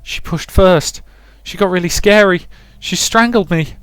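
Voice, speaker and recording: A man's voice: high voice, measured pace, a bit bright, smooth, no room sound, voice pushed up. A man voicing a frightened, pleading young girl in a visual novel, stammering and distressed. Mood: panicked, pleading.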